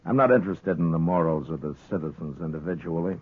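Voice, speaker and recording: An actor playing a terse, authoritative frontier marshal with an American accent in a 1950s radio western. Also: male voice, sits low, fast, breathy edge, narrow, tinny audio. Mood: stern, warning. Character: stern, laconic frontier town marshal, steady authority. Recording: thin old radio broadcast recording.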